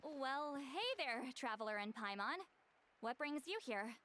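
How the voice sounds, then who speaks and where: A woman's voice: sits high, measured pace, fairly bright tone, a little hiss or hum. A woman playing a proud, teasing, dignified elder in a video game, speaking with wry confidence. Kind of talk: video game character acting.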